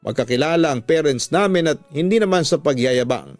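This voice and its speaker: A man's voice: on the high side, expressive, fairly quick, no echo. A man narrating a love story in the first person, as dramatic scripted radio storytelling. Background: music.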